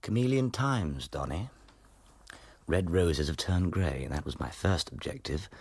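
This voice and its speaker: A smug radical academic for radio drama. His voice is extremely low and creaky, recorded dry and close. He talks in a sly, wry, measured way, like a born schemer. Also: expressive, a little mellow, no echo, heavily acted. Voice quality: very deep, gravelly, husky. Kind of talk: radio play acting.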